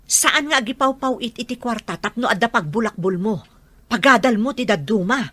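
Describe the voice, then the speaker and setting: A stern, worried mother, expressive. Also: a little high, fairly quick, no room sound. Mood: scolding. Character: worried mother of a schoolgirl, stern but caring. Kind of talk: Ilocano radio drama acting.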